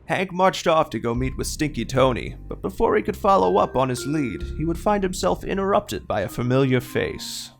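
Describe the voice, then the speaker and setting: A man playing a self-aware, deadpan narrator of a comedic detective audio drama. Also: a little high, moderate pace, vocal fry, hardly any pauses, faint music behind. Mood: matter-of-fact.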